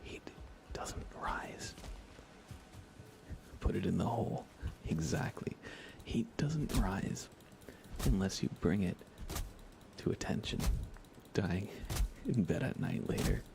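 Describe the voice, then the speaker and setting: A man softly commentating on a relaxed ASMR gaming livestream, often near a whisper, musing aloud casually with dry humor. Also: low voice, slow, gravelly, pauses often. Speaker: live streamer, host.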